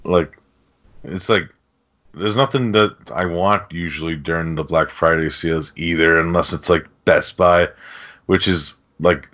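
A man's voice: deep voice, a little slow, a bit bright. A man co-hosting a casual film podcast, rambling along in slangy talk with some casual swearing. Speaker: podcast host. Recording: dull, lo-fi podcast audio.

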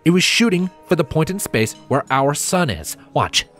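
A man's voice: a little high, very animated, normal speed, fairly bright tone, soft background music, pitched above the performer's own voice. A man voicing a confident, eager male scientist explaining his theory, emphatic and undeterred, in a story reading.

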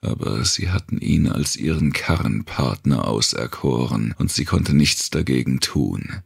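A man narrating a dark thriller audiobook in a gravelly, husky voice, measured and grave. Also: rumbling low, no room sound, studio quality.

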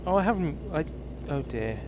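A man's voice: a little high, animated, normal speed, lo-fi sound. A man in his thirties with a British accent, chatting casually while he streams a driving game, rambling and self-mocking. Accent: British English. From England. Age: 30s. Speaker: livestream host. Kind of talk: casual gaming livestream chat. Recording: game engine noise behind. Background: truck engine and road noise from the game.

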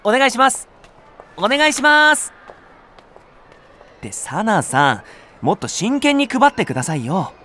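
A man's voice: high-pitched, very animated, a little slow, long pauses, pushed and bright, heavily acted. An actor playing an earnest, clumsy young male nursery teacher in an audio drama, with a polite, easily flustered manner. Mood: eager calling out. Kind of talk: Japanese audio drama acting.

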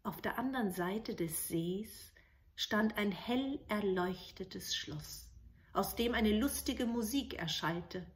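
A woman's voice: medium pitch, lively intonation, takes it slowly. A middle-aged woman narrating a fairy tale expressively, as a storyteller. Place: indoors, living room with books.

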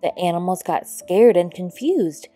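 A woman reads a children's story aloud at an unhurried pace. Her voice is on the low side and soft-edged, with gentle, deliberate phrasing. Music plays behind her. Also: expressive. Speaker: storybook narrator. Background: background music.

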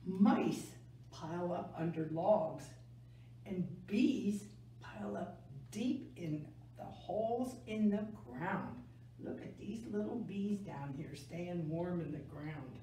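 An older woman reading a picture book aloud to children in a gentle, deliberate way, stressing key words. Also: fairly low pitch. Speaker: library story time reader. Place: small indoor room, seated. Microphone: camera a few metres away. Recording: echoey room, distant mic, faint noise.

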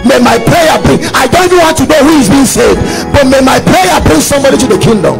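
A man's voice: very high voice, expressive, fast talker, vocal fry. A man with a Nigerian accent preaching an impassioned sermon, emphatic and repeating his phrases. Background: background music.

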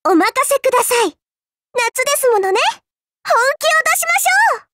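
A woman's voice: very high voice, lively intonation, normal speed, bright, forceful tone, dry recording, studio quality, full character voice. A woman voicing a cute, mischievous young girl in an anime mobile game, perky and teasing, with polite, playfully smug delivery. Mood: cheerful, excited. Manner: perky, teasing, polite speech with cutesy exclamations.

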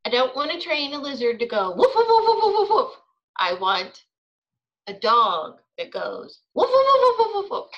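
A woman's voice: sits high, very animated, moderate pace, highs cut off. A woman acting out a stubborn, exasperated young child in a storybook read-aloud, with emphatic, insistent delivery. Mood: indignant, firm.

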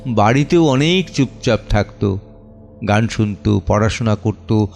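Man acting anguished, slow-spoken middle-aged clerk father. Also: fairly low pitch, animated, vocal fry, darkish tone. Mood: sorrowful. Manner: theatrical, deliberate, pausing between short phrases. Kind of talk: dramatic monologue in a Bengali audio play. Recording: music under the voice. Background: background music.

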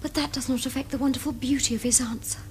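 A woman's voice: high-pitched, steady tone, quick pace, a little mellow, a little hiss or hum, lightly acted. An actress playing a prim, romantic young lady with an upper-class English accent, speaking earnestly and precisely. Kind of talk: period television comedy acting.

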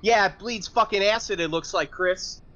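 A man's voice: high voice, moderate pace, bright, forceful tone, muffled recording. A man chatting casually and informally as a player in a tabletop game. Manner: sweary, informal. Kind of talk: casual chat.

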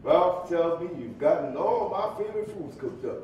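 A man plays a teasing, slightly jumpy preacher in a stage play, bantering in everyday dialogue. His voice sits fairly high. It sounds distant in a very echoey hall. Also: animated, moderate pace, darkish tone, dull audio, natural voice. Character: famous civil rights preacher, playful, teasing, slightly jumpy. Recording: echoey hall, distant, faint rumbling noise.

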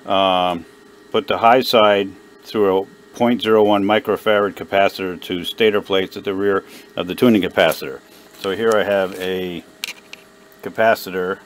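A man with a deep voice talks through a hobby repair at his workbench. His delivery is unscripted and halting, with hesitations as he works things out aloud. He sounds like a hobbyist demonstrating hands-on work. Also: a little hiss or hum. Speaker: hobbyist radio repairer presenting his own video. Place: indoor workbench.

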